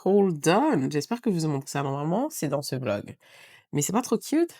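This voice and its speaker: A woman's voice: low-pitched, very animated, moderate pace, dark tone. A woman in her thirties vlogging at home, chatting casually and slipping English phrases into her talk, her voice congested from a cold. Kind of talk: casual vlog talk to camera.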